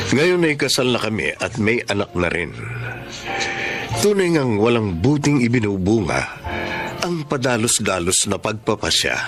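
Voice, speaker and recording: An actor playing an earnest, lovelorn widowed father with tender, emotional delivery in a Filipino radio drama. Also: lowish voice. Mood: reflective. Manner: emotional, hesitant when flustered.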